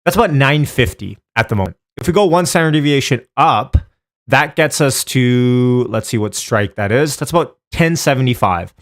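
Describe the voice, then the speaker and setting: A man's voice: medium pitch, expressive, moderate pace. Male streamer, mid-range voice, casual and chatty, talking straight to the audience with off-the-cuff commentary. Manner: informal, chatty, addresses viewers by name. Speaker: live stream host. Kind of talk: casual live stream chat and stock options commentary.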